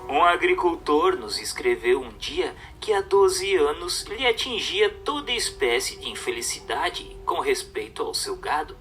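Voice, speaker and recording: A man with a Brazilian accent reads a religious book as scripted narration, in measured, declamatory phrasing. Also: on the high side, bright, forceful tone, distant mic.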